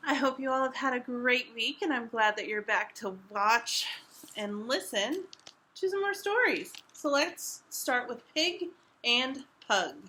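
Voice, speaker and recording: A woman hosts a story time for toddlers. Her voice is high and bright, swinging widely in pitch. She reads in simple, emphatic phrases with a sing-song lilt, taking her time. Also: lively intonation, unhurried. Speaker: children's library story-time reader. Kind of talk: animated picture-book read-aloud for toddlers.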